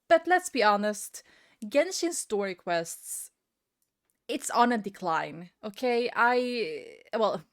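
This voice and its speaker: A woman speaks as a video creator sharing an informal rant with viewers. Her delivery is conversational and unscripted, moving in halting phrases. She puts clear emphasis on the points she cares about. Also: fairly high pitch. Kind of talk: casual opinion rant to viewers.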